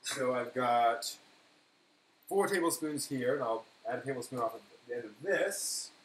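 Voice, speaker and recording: A man talks casually through a cooking demonstration, often muttering to himself and correcting himself as he works. His voice is mid-pitched and sits in an echoey kitchen, with faint noise behind him. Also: animated, normal speed, fairly bright tone. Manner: rambling, self-correcting, muttering to himself while working. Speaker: live stream host. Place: indoor kitchen at a counter. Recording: echoey room, clear background noise.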